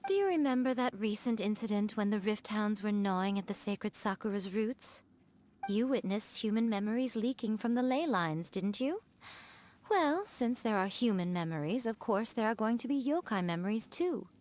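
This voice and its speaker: Woman voicing a foxy shrine maiden game character, medium pitch, elegant, teasing, kinda smug and composed. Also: lively intonation, normal speed, a little mellow, slight background noise, lightly acted. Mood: poised explaining. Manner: poised, teasing, explanatory. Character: sly, amused, elegant female kitsune shrine maiden. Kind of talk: video game character acting.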